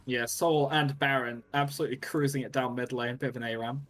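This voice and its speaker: A man's voice: medium pitch, steady tone, fairly quick, a bit bright. A man casting a live online game match, talking casually with many fillers and a wry, joking edge. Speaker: esports caster.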